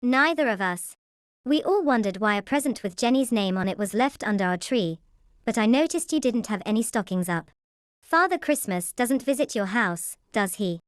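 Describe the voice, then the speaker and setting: A woman plays a cheerful young neighbour girl in an audio drama. Her voice is fairly high and very clear, with a polite manner, recorded dry. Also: animated, moderate pace, no room sound, lightly acted. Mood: friendly, polite. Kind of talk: fan audio drama acting.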